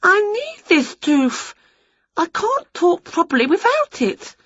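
A woman voicing an excitable, dramatic female witch in a storybook, with a shrill, theatrical manner, in a dull, lo-fi recording. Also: a little slow, no room sound. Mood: wailing. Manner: shrill, theatrical, over-the-top. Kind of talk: storybook character voice.

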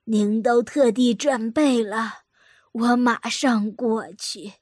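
Slow-spoken, courteous old lady. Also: on the high side, steady tone, a little mellow, no echo, studio quality. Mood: polite, gracious. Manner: courteous, measured. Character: composed, polite old lady, gently probing. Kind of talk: audio drama acting.

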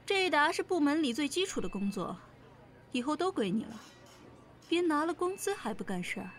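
A woman playing a bossy senior office colleague in an audio drama, sharp-tongued and impatient. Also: high-pitched, very animated, a little fast. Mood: scolding. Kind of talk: modern audio drama acting. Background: faint music.

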